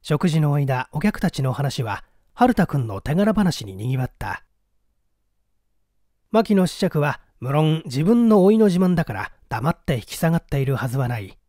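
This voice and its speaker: A man's voice: fairly high pitch, big pitch swings, moderate pace, dark tone, no room sound, studio quality. A man reading a story aloud as a dramatic scripted narration. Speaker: audiobook reader.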